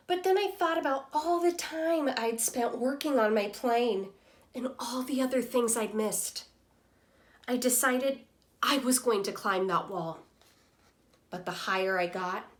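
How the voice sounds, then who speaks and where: A woman's voice: mid-range voice, natural voice. A woman voicing an earnest, timid but persevering storybook egg, telling his own story expressively. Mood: nervous. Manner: slow, expressive first-person storybook telling.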